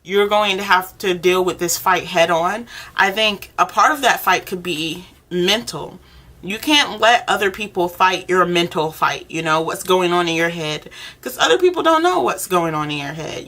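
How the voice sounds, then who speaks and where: A woman's voice: lowish voice, sharp tone. A woman speaking informally and encouragingly, one-to-one, as she gives a role-play tarot reading. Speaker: tarot reader, channel host.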